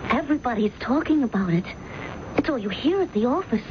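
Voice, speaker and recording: Through a dull, noisy old radio recording comes an actress as a doubting fiancée. Her voice sits high, and she sounds troubled and reproachful as she questions her man. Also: animated, fairly quick, close to an everyday voice. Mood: worried, reproachful. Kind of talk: radio drama acting.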